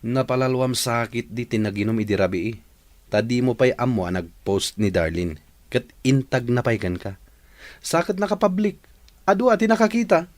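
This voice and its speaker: A man's voice: medium pitch, animated, moderate pace, natural voice. A man acting as a frank friend admonishing his buddy in an Ilocano radio drama, in a conversational way. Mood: concerned, chiding. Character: frank male friend breaking bad news to his buddy.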